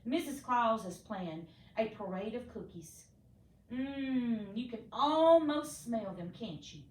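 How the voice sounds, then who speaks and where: A woman's voice: fairly high pitch, animated, slow pace, echoey room, distant mic, low-quality recording. A woman voicing a jolly, kindly old man in a children's storybook reading.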